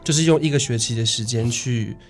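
Young male guest, Taiwanese Mandarin accent, medium-pitched voice, casual chat, music in the background. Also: brisk. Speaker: guest, stage singer and actor. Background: music under the talk.

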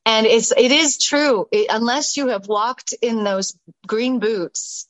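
A woman with a mixed Southern American and British accent, telling stories as a podcast guest in an animated way, laughing often. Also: fairly high pitch, slow, no echo, poor audio. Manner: animated, laughing, many restarts and asides. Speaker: interview guest, stage actress and singer. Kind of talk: casual storytelling in a podcast interview.

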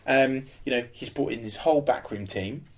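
A man's voice: medium pitch, normal speed. A young man co-hosting a football podcast, talking in an emphatic, rambling way with plenty of restarts. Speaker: podcast co-host. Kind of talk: casual football chat.

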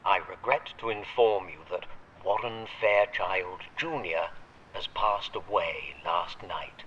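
A man's voice: sits low, fairly bright tone, lo-fi sound, slight background noise. An actor playing a devoted, fatherly old butler in an audio drama, formally polite and sentimental, heard through a radio filter. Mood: formal, solemn. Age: older. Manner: formal, measured, deferential yet tender.

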